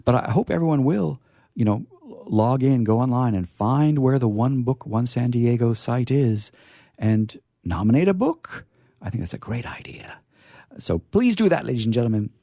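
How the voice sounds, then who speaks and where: A man's voice: mid-range voice, animated, normal speed, dark tone, no echo, clean studio sound. A man hosting an informal live talk, hesitant and repeating his phrases, with playfully formal turns. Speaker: library staffer hosting a discussion.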